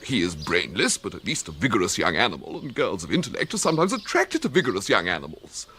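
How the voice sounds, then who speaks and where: An actor playing a mournful, indignant young poet in a radio comedy, pompous and bleating, with an English accent. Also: male voice, sits low, wildly swooping pitch, quick pace, sharp tone, slight background noise, heavily acted. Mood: aggrieved, scornful. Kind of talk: radio comedy drama acting.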